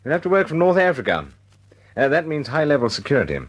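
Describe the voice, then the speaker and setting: A man playing a courteous, cautious senior wartime official in a radio drama, speaking deliberately. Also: on the high side, big pitch swings. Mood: cautious, serious.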